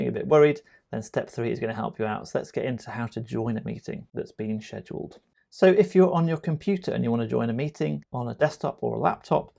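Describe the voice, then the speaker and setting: Low-key gravelly man giving a tutorial. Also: a little mellow. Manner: conversational, explanatory, addresses viewer directly. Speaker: tutorial presenter. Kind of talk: informal software tutorial narration.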